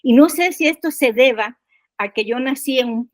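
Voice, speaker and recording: An older woman, telling personal stories casually and haltingly, repeating words and seeking agreement. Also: high voice, no room sound. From Guerrero, Mexico. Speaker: podcast guest, poet.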